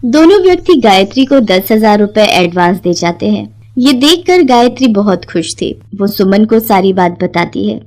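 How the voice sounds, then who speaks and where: A woman's voice: mid-range voice, sing-song, normal speed, few pauses, darkish tone. A woman narrator reading a scripted moral story.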